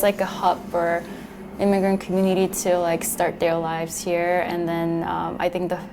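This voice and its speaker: A woman's voice: lowish voice, a little mellow. Hesitant young woman, faint room noise. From Korea. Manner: hesitant, many fillers. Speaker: documentary interviewee. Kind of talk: casual testimony.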